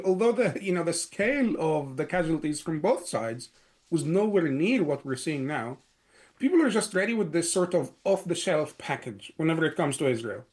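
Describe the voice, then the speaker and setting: A man's voice: a little high, darkish tone. A middle-aged man from Egypt, a guest answering interview questions in long runs full of "you know" and restarts. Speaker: guest, security analyst.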